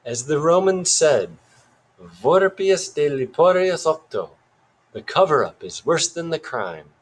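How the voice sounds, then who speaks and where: A man's voice: on the high side, sing-song, pitched above the performer's own voice, low-quality recording. Man putting on a pompous mock-British schoolboy detective. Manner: theatrical, pompous, drawn-out phrasing.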